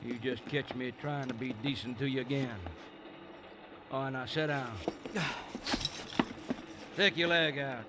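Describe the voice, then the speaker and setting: An actor playing an aggrieved, folksy small-town sheriff, in 1950s radio style. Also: male voice, medium pitch, fairly even intonation, a little slow, pauses often, lightly acted. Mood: stern, irritated. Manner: folksy, stern. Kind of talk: 1950s radio western acting. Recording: noisy vintage radio recording.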